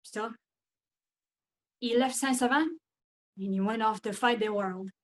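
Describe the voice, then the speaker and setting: A somewhat high-pitched Frenchwoman, played by an actress speaks with a French accent in a dramatic wartime monologue. She is grieving and embittered, and her delivery is halting and full of feeling. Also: lightly acted. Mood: sorrowful. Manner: halting, emotional. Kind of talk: theatrical monologue.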